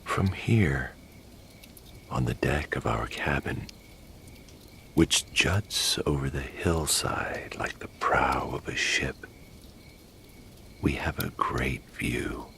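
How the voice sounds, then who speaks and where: A man narrating a guided sleep meditation in a hushed, breathy, gravelly voice, soothing and unhurried like a bedtime storyteller. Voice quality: breathy, whispery, gravelly low voice.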